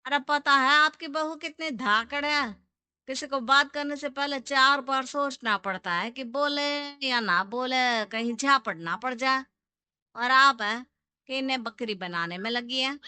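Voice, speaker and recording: A woman's voice: high voice, a little slow, sharp tone, clean tone, no echo, heavily acted. A woman voicing an outspoken male bus driver in a moral story cartoon, blunt and reproachful. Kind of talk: animated moral-story character acting.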